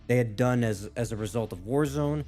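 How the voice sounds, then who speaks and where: A man's voice: mid-range voice, a little fast, dark tone. A man with a mid-range voice hosts a reaction video. He comments casually and tentatively, reasoning aloud as he goes. Faint game music sits behind him. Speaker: gaming reaction video host.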